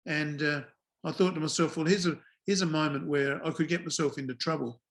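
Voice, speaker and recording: A man's voice: fairly high pitch, steady tone, fairly bright tone. Dry-humoured older man. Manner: dry understated humour, measured with frequent "uh" pauses. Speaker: guest, former cricket umpire. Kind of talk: relaxed storytelling in a podcast interview.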